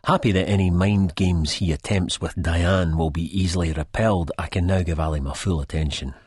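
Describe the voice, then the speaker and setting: A man's voice: deep voice, fairly even intonation, a little fast, a little mellow, slightly rough, no echo, studio quality. A man with a Scottish accent playing a wry, reflective, disillusioned first-person narrator in an audiobook. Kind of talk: first-person literary narration, character acting.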